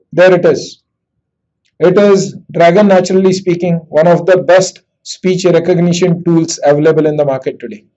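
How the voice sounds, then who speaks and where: A man with an Indian accent demonstrating dictation software, speaking slowly and deliberately as if to a computer. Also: fairly high pitch, highs cut off. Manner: slow, deliberate dictation with spoken punctuation. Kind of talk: screen-recorded software tutorial with dictation.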